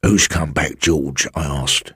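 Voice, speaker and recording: A man voicing a sly, brooding elderly former servant with a rustic working-class English accent, telling his tale slowly and insinuatingly in a husky voice. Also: rumbling low, a bit bright, no echo, studio quality, exaggerated voice. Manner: slow, insinuating, colloquial storytelling. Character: sly elderly former manservant, evasive and brooding, recounting a haunting.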